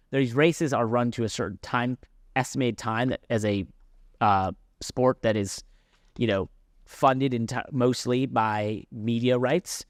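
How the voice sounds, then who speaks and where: A man's voice: mid-range voice, no echo, studio quality. A man co-hosting a casual motorsport podcast, talking through his argument in an explanatory way and often stopping to correct or qualify himself. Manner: explanatory, self-correcting, interjecting. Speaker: podcast co-host and racing driver. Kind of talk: casual sports podcast discussion.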